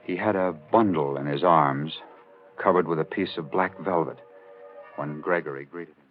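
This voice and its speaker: An actor playing a man who recounts a murder case in a measured, factual way, in 1940s radio drama style. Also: rumbling low, soft background music. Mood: sober. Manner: measured, matter-of-fact. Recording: muffled old radio recording.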